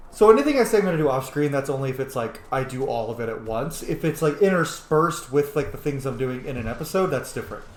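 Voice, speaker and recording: A man's voice: mid-range voice, expressive, fast talker, hardly any pauses. A man hosting a casual game roleplay stream, chatty and swearing freely. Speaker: gaming roleplay host. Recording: echoey room with faint noise.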